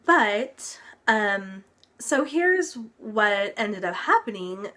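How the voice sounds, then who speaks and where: Slow, unhurried young woman vlogger, stuffy-nosed and recovering, rambling casual update. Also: medium pitch, sing-song, sharp tone. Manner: chatty, lots of ums, occasional laughs. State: congested, recovering from illness. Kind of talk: casual health update vlog.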